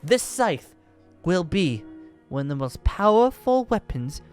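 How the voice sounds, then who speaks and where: A man's voice: high-pitched, big pitch swings, a little slow, clean tone, soft background music. A man playing an exuberant, boastful dragon-slayer wizard in a Minecraft roleplay, speaking in a pompous, genteel and theatrical manner. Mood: showy, explaining. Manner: theatrical, flamboyant, cackling, pompous. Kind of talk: improvised Minecraft fantasy roleplay acting.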